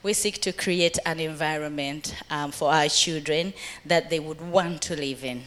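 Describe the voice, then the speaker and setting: A woman's voice: sits low, a little slow, a bit bright. A middle-aged woman from Uganda speaking earnestly to a church audience about family life. She laughs often and quotes scripture.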